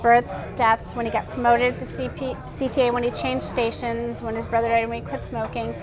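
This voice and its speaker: Earnest high-voiced woman interviewer. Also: brisk, a crowd in the background. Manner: earnest, animated, many restarts. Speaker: interviewer and blogger. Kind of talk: casual conversational interview.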